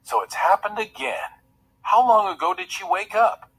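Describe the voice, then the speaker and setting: Man playing a fussy, higher-voiced young prince. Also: animated, moderate pace, sharp tone, slightly rough, heavily acted, rough recording. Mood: dismayed. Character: fussy young pampered prince, easily frustrated.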